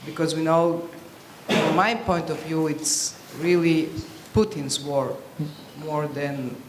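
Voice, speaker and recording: A woman from Serbia asks a panel a question in a halting way, stopping often to search for words. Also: deep voice, steady tone, reverberant, slight background noise, low-quality recording. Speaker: audience member asking a question. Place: conference hall.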